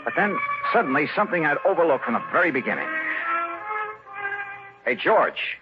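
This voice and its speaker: An actor in a 1950s radio drama playing a hard-boiled investigator who narrates and gives orders in a brisk, commanding, wry manner. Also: male voice, fairly high pitch, sing-song, highs cut off, over music. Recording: old radio broadcast with hiss.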